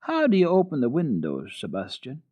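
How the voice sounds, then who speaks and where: A man's voice: medium pitch, huge pitch swings, moderate pace, dark tone, dry recording, voice pushed up. A man voicing an innocent little mountain girl, earnest and full of naive questions, in a children's story reading. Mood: curious.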